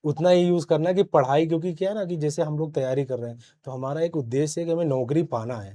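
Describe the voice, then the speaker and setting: A man's voice: a little high, quick pace, dark tone. A young man giving casual, plain-spoken, explanatory answers as an interview guest. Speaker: interview guest, successful exam candidate.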